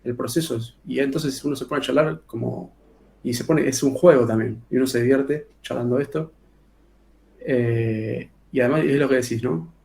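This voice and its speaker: A man's voice: medium pitch, moderate pace, creaky, a little mellow. A man with a Rioplatense Spanish accent, an author talking casually in an interview, colloquial, with many filler sounds and restarts. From Argentina. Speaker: author guest.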